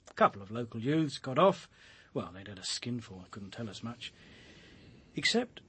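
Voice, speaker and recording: An actor with a British accent playing a down-to-earth, blunt police detective in a radio drama, colloquial and matter-of-fact. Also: male voice, medium pitch, big pitch swings, moderate pace, a bit bright, breathy edge, dry recording, exaggerated voice. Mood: matter-of-fact, grim.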